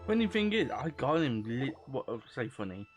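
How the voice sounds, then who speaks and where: A man gaming and commenting off the cuff in a halting, rambling, sweary way. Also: medium pitch, sing-song, over music. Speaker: gaming streamer. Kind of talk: casual off-the-cuff game commentary.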